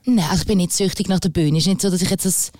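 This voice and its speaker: A woman's voice: lowish voice, very fast, darkish tone, no room sound, clean studio sound. A woman with a Swiss German accent, a singer guest talking candidly and rambling a little in a casual interview. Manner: candid, rambling, frequent fillers.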